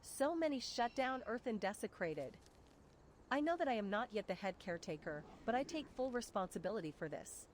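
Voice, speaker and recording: A synthetic woman's voice playing a dutiful, earnest caretaker in a game quest, speaking in formal, measured sentences. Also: on the high side, sing-song, slight background noise. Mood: remorseful.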